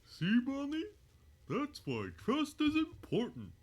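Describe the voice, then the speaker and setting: Very high, sing-song cartoon bear. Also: male voice, wildly swooping pitch, takes it slowly, heavily acted. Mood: cheerful, instructive. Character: cheery cartoon bear teaching a gentle lesson. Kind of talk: children's cartoon voice acting.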